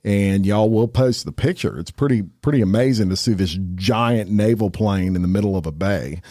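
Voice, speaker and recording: A man's voice: lowish voice, moderate pace, warm tone, no room sound, studio quality. A man co-hosting a podcast, going over crime news in a folksy, casual way. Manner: folksy, drawling, says "y'all". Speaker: podcast co-host. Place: podcast studio.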